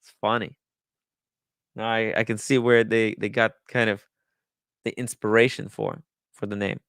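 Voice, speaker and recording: A man hosting a livestream in casual talk, haltingly thinking aloud as he goes. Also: lowish voice, flat delivery, a bit bright, no room sound, studio quality. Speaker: livestream host. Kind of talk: casual livestream chat, reading viewer comments and riffing.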